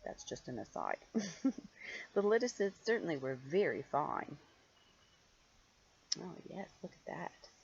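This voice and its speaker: A woman's voice: fairly low pitch, big pitch swings, slow, creaky, long pauses, a little mellow, husky, lo-fi sound. An older woman reads a storybook aloud like a grandmother, expressively and playfully, drawing out key words and adding chatty asides. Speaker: grandmother reading stories to children.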